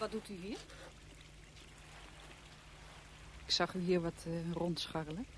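A woman's voice: fairly low pitch, fairly even intonation, fairly quick, noisy background, natural voice. An actress playing a forthright, nonchalant woman from a country house in a radio drama, speaking in a direct, dryly offhand way. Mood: brisk, challenging.

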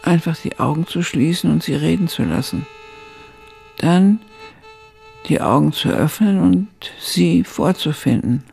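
An older woman acting as an elderly narrator who looks back on her youth in a radio drama, with a gravelly, husky voice and measured phrasing. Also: deep voice, dark tone, soft background music. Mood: reflective.

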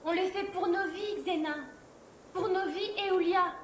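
A woman playing a spirited, resourceful young girl in a dramatised audiobook, lively and assured in her delivery. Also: extremely high pitch, normal speed. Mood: warm, determined. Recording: faint background noise.

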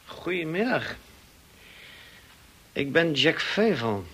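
Drawling, high-voiced young rake. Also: male voice, very animated, takes it slowly, a bit bright, breathy edge, full character voice. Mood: teasing. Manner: familiar, teasing, drawling. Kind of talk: radio drama acting.

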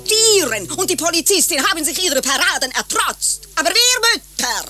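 A woman's voice: extremely high pitch, sing-song, normal speed, music in the background. An actress playing an indignant, pompous inventor of Mother's Day, declaiming her grievances and demands in a satirical radio play.

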